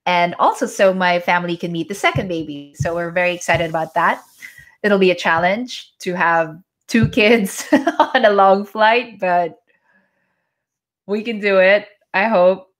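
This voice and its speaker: Lower-pitched Filipino woman, chatty. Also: a little slow. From Philippines (Manila). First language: Tagalog. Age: 40s. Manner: chatty, reads and answers viewer comments. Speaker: yoga instructor hosting a live chat. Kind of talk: casual live-stream chat. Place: at home during a live stream.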